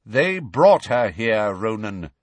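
A man voicing a stern, proud male centaur hostile to humans, snarling slowly in a storybook reading. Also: on the low side, sing-song, no echo. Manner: slow, snarling.

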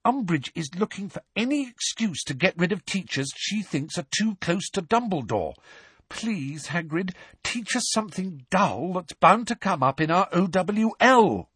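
A man's voice: high-pitched, sing-song, measured pace, fairly bright tone, husky, no echo, voice pushed up, exaggerated voice. A man reading aloud, voicing an earnest, anxious teenage schoolgirl who speaks urgently. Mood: desperate.

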